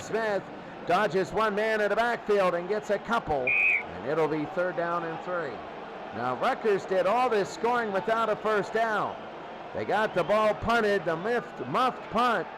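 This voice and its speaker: An American man calling a football game play by play as a live radio announcer. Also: high-pitched. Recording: noisy game broadcast with crowd roar. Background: loud stadium crowd.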